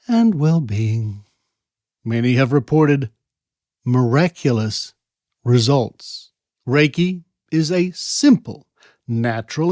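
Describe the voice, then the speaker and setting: An Australian man presents a podcast at a medium pitch, recorded close and dry. He talks in a conversational, wry and musing way, pausing deliberately to stress a point. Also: a little mellow, no echo. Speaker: podcast host. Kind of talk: conversational podcast monologue with read-out passages.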